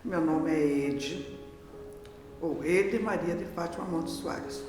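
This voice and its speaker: Woman, fifties, southern Brazilian accent, casual interviewee, long hall reverb, clear music bed. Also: low voice, takes it slowly. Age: 50s. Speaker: adult student interviewee.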